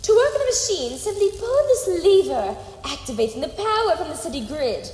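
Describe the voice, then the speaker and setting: A woman's voice: very high-pitched, brisk. A woman playing a genteel, bossy, scatterbrained scientist who chides her colleagues in a sci-fi comedy audio drama. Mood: cheerful, instructive. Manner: animated, genteel, chiding. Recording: echoey hall, faint background noise.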